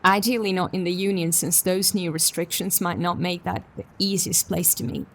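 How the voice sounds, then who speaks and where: Woman acting a sci-fi detective, businesslike and determined, creaky voice, measured delivery, a little off-mic, audio drama. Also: fairly low pitch, fairly even intonation, distant mic, close to an everyday voice. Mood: businesslike, polite.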